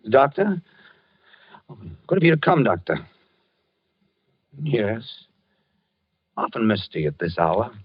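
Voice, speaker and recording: An actor playing a doomed gentleman talking in his sleep, formal, halting and courteous, in an old-time radio drama. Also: on the low side, sing-song, husky, no room sound, full character voice. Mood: dreamy, courteous. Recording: dull, muffled vintage radio recording.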